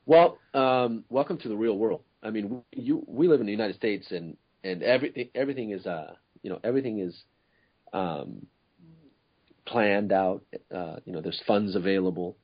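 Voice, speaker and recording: A man from Ecuador, co-hosting a podcast discussion, speaking earnestly and often pausing to search for words. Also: on the low side, slightly rough, highs cut off. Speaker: co-host.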